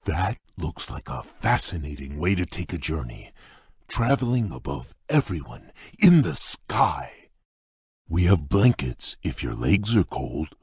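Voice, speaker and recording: An actor playing a large, shy, polite beast prince in a video game, speaking in a courteous, formal, earnest way with a husky voice. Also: male voice, sing-song, unhurried, a little mellow, dry recording, heavily acted. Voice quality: deep husky voice. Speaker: game character. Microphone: dry close studio mic.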